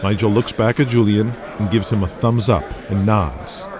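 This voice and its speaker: A man's voice: fairly low pitch, steady tone, moderate pace, a crowd in the background. A man narrating a scripted audio drama in the present tense. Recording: music under the voice.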